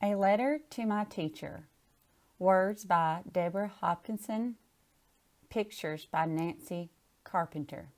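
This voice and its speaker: A woman's voice: lowish voice, slow, darkish tone. A woman chatting casually and reading a picture book aloud. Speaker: storybook reader.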